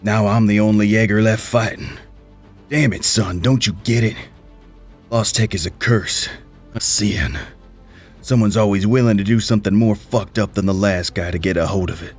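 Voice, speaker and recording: A man playing a grizzled middle-aged mercenary major, gruff, profane and folksy in a sci-fi radio play. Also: lowish voice, normal speed, faint music behind, voice pushed up, lightly acted. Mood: bitter, weary.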